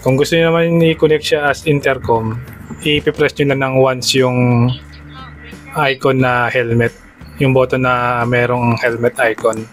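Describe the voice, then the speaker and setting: Filipino man giving a how-to over music. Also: medium pitch. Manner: plain, instructional, conversational. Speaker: motorcycle vlogger giving a product tutorial. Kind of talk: casual step-by-step how-to narration in a vlog.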